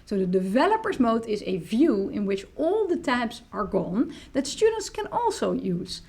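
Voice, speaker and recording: Dutch-native woman with lively, creaky voice. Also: fairly high pitch, moderate pace. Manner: explanatory, walks through clicks step by step. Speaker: teacher tool demonstrator. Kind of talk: screen-recorded software tutorial. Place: small indoor room.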